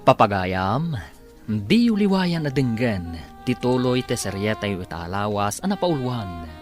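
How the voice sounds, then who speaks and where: A man's voice: on the low side, sing-song, a little slow. A man delivering scripted dramatic narration as a radio drama announcer. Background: music.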